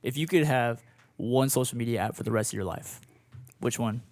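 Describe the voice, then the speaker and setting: A man's voice: medium pitch, fairly quick, a little mellow, no room sound. A young man from Florida hosting a casual podcast chat, easygoing and slangy, laughing often. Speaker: podcast host.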